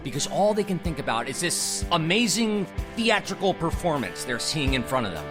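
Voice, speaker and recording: A man's voice: on the high side, sing-song, normal speed, music in the background. A man playing a harried theater director, defensive yet a rousing showman, in an audio drama.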